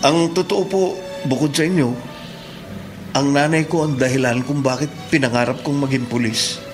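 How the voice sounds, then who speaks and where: A man playing a respectful young Filipino policeman and devoted son in a radio drama, polite and soft-hearted. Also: mid-range voice, steady tone, normal speed, lightly acted. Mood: polite, earnest. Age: young adult. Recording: dramatic music bed behind the voice.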